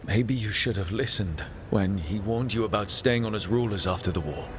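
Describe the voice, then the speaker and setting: A man's voice: on the low side, normal speed, background noise, natural voice. An actor playing a determined male adventurer in a fantasy video game, speaking earnestly and plainly. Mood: firm, persuasive. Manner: earnest, plain-spoken persuasion. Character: earnest, determined heroic male adventurer pleading his case. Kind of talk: dramatic video game dialogue.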